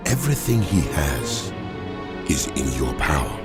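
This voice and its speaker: An extremely deep, majestic God. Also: male voice, big pitch swings, loud music behind the voice, full character voice. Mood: solemn authority. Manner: grave, authoritative, measured. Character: God, solemn, commanding, majestic male deity. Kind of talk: dramatized audio Bible acting.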